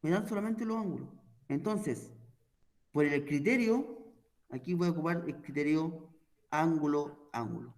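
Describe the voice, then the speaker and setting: A man's voice: on the high side, measured pace. A man explaining an online math lesson, patiently walking through each step and checking in often with his listeners. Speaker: math teacher.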